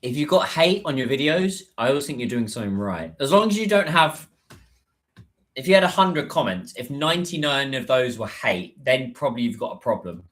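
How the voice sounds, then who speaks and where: A man's voice: mid-range voice, big pitch swings, fairly quick, fairly bright tone. Chatty British man livestreaming. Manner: rambling, chatty, many fillers and restarts. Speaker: livestreamer and business content creator. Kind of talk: casual livestream chat.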